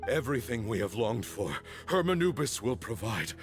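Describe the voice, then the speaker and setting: An actor playing an older man in a video game, a fervent elder with a gravelly voice and theatrical delivery. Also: mid-range voice, very animated, normal speed, close to an everyday voice. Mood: fervent, unhinged. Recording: music under the voice.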